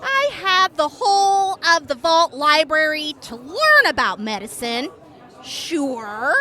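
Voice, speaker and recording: Woman playing a high-voiced excitable vault medic, teasing, swoops all over, talks slow. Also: a faint crowd, full character voice. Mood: excited. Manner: eager, teasing, gushing. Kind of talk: fan audio drama.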